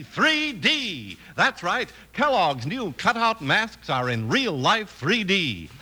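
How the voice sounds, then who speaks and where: A high-voiced American man pitches like a vintage radio announcer, full of exclamations. His delivery is bright, forceful and very animated. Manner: exclamatory, enthusiastic sales pitch. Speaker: commercial announcer. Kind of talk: excited 1950s radio advertisement.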